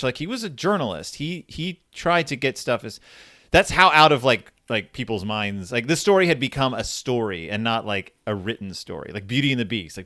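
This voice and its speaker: A man's voice: mid-range voice, fairly bright tone, no echo, studio quality. A man hosting a podcast, talking through books in a casual, rambling, self-interrupting way with an explainer's enthusiasm. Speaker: podcast host. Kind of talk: casual book discussion.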